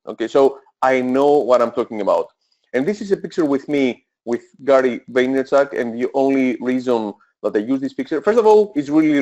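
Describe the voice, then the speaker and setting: A man's voice: medium pitch, a little mellow, low-quality recording. A man in his thirties with a Greek accent, presenting a sales webinar in an eager, persuasive way, with many fillers and self-corrections. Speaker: webinar presenter, e-commerce coach.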